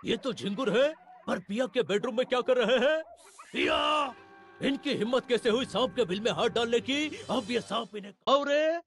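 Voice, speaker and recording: A man playing an excitable, soft-hearted cartoon cat with a theatrical delivery. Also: very high voice, moderate pace, faint music behind, full character voice. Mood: indignant. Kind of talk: Hindi cartoon dubbing.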